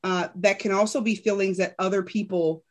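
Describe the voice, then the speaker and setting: A woman's voice: medium pitch, fairly even intonation. Earnest woman guest telling her story. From New Jersey. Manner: earnest, thoughtful, sometimes halting. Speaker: guest speaker. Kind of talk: conversational storytelling.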